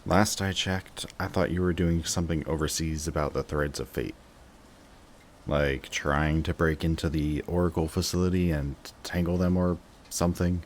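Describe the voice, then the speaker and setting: Very deep-voiced sardonic supervillain played by a man. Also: little pitch movement, normal speed, slight background noise. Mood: annoyed, sarcastic. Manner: sardonic, dry, taunting. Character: sarcastic, jaded male supervillain sparring with an old rival. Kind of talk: scripted roleplay audio drama monologue.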